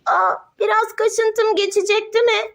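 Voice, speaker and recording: Mom doing a scared little toy kid voice, super high n whiny, drags words out, kids toy play. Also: female voice, level tone, normal speed, gravelly, a bit bright, full character voice. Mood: relieved, timid. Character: timid, childlike toy patients, itchy and scared of needles.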